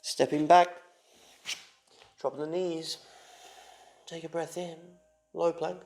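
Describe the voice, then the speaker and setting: A man with a high-pitched voice guides a yoga class. His delivery is calm and unhurried, in short instructive cues, with a creaky, gravelly edge to the tone. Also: slight background noise.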